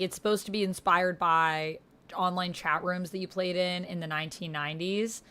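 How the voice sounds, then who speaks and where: Low-pitched woman chatting on a stream. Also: fairly bright tone. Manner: chatty, informal, thinking aloud while typing. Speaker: streamer hosting a solo actual-play roleplaying game. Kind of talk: casual livestream chat, reading out typed roleplay. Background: computer keyboard typing.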